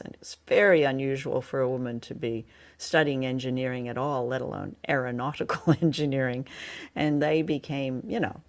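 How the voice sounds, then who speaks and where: A woman co-hosting a podcast, chatting casually and explaining things with hesitant phrasing. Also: extremely low pitch. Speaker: podcast co-host. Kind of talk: casual review chat.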